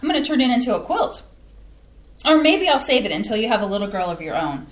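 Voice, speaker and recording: A gushing, flustered small-town mother, played by an actress in a staged reading, her high voice swooping all over. She exclaims and fusses over her daughter. The voice carries the echo of a playhouse stage. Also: big pitch swings, fast. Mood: fond. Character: warm fussy small-town mother, middle-aged woman. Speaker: actor playing Claire, the mother. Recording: echoey stage from a distance.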